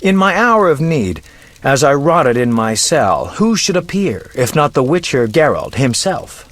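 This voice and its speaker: Casting: vain roguish game bard, theatrical and florid, fairly high voice with swooping melody. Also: male voice, big pitch swings, measured pace, a bit bright, in a large hall. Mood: theatrical, boastful. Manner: theatrical, florid, self-important. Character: flamboyant, vain, roguish male poet and bard. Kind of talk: video game voice acting, storytelling.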